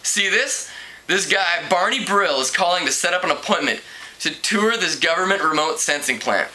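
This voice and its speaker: A harried male reporter from a storybook, acted out by a man reading aloud. He is informal and put-upon, grumbling in a forceful, bright voice that sits a bit high. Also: animated, moderate pace, exaggerated voice, poor audio. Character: harried, overworked male newspaper reporter, casual and griping.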